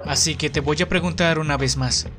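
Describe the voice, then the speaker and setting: Man playing a high-voiced cheeky young anime enforcer. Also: a little fast, music in the background, close to an everyday voice. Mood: bitter, intense. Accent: Latin American Spanish. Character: cheeky, bitter young male enforcer with criminal record. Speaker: amateur fandub voice actor. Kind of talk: anime fan dub acting.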